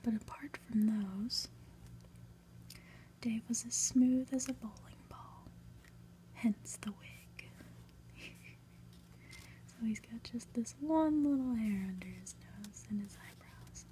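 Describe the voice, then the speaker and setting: A woman hosting ASMR, whispering gently as she reads a book aloud with casual asides. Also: fairly high pitch, slight background noise. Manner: gentle, unhurried, soft-spoken. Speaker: ASMR host.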